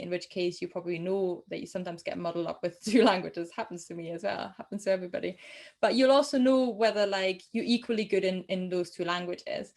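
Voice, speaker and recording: A young adult woman from Germany, a native German speaker with a German accent, answering interview questions about translation in a chatty way with many ums and restarts. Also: mid-range voice. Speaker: translator guest.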